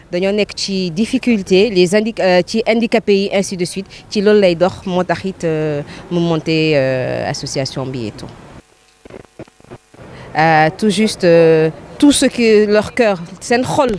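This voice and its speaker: Halting Senegalese woman, medium pitch. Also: a little mellow, slight background noise. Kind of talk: spontaneous talk mixing two languages.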